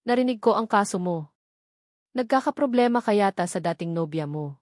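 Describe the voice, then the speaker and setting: A woman's voice: mid-range voice, animated, moderate pace, darkish tone, no echo, studio quality, close to an everyday voice. A woman voicing a scheming, threatening male mayor in a dramatic story. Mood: smug. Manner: smug, threatening.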